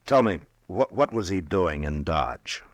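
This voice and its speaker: Radio western lead: a kindly, hesitant marshal, extremely deep voice, dry close mic. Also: male voice, lively intonation, a little slow, no echo. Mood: hesitant, gentle. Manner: stammering, hesitant, gentle. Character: weary, kindly frontier marshal, grown man. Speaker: old-time radio drama actor and in-story narrator. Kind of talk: 1950s radio western acting and first-person narration.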